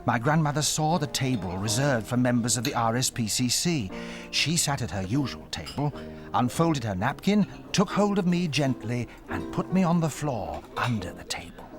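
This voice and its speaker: A man's voice: medium pitch, sing-song, moderate pace, over music, lightly acted. A man as narrator of a dramatized storybook, vividly recalling a boyhood adventure as a mouse. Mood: storytelling.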